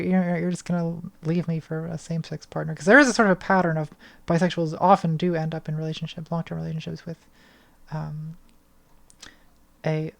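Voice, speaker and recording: A young woman answering patron questions on a casual livestream from her bedroom, thoughtful and hesitant, with a slightly hoarse voice, speaking into a studio mic. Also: low voice. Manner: thoughtful, hesitant, many restarts and ums. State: voice getting hoarse. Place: small bedroom lit purple. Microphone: studio mic on arm, front of frame.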